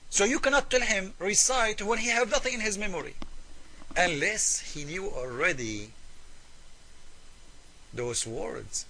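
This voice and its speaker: Man, high-pitched voice, English, argumentative debater, emphatic, repeats himself, forceful delivery. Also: slight background noise, rough recording. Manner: emphatic, repetitive, with rhetorical questions; non-native English with irregular grammar. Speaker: religious debater and presenter. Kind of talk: argumentative polemical commentary.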